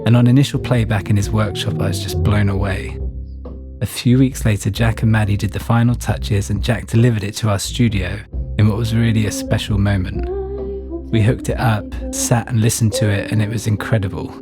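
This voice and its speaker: A man with a fairly low voice narrates his own story in the first person. The delivery is scripted, and music plays clearly behind him. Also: fairly even intonation, fairly quick.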